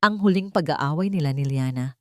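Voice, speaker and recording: A woman's voice: low-pitched, fairly quick, fairly bright tone, no room sound, clean studio sound. A woman reading scripted dramatic narration of a love story.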